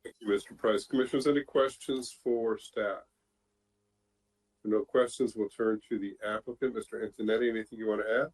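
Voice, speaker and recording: A man with a fairly low voice chairs a public board meeting. He handles procedure in an orderly way, with an informal touch as he hands the floor to others. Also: fairly even intonation, fairly quick, rough recording. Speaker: planning board chair presiding over meeting.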